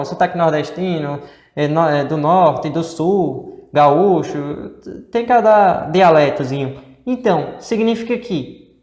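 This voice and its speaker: Male co-host, Northeastern Brazilian accent, rambling explainer, slightly high voice, recorded in a reverberant room. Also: darkish tone. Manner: rambling, many fillers and rhetorical questions. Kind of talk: casual explanatory chat. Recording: echoey room with long reverb.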